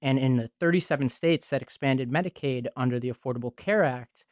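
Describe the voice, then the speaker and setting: A man co-hosting a podcast, opening with a joke and then delivering the week's news in a steady, factual way. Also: mid-range voice, brisk, dry recording, studio quality. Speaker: podcast co-host. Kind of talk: reading news summary.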